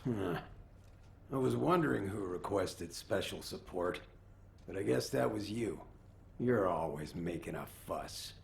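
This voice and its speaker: Very gravelly gruff old man in a game. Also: low-pitched, lively intonation, a little slow, darkish tone, reverberant, exaggerated voice. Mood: grumbling. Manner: grumbling, wry. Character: gruff, teasing old male military quartermaster. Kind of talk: video game voice acting.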